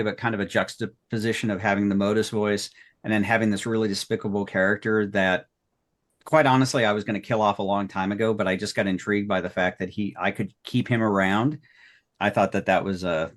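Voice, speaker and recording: A man talking casually and reflectively as a podcast creator looking back, rambling and appreciative of his colleagues. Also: on the low side. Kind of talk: casual retrospective talk.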